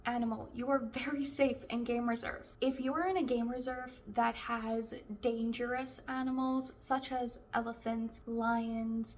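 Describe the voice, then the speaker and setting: A young South African woman with a South African accent reviewing a book in a casual chatty vlog, full of "like" fillers. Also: fairly high pitch. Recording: distant mic with faint background hiss.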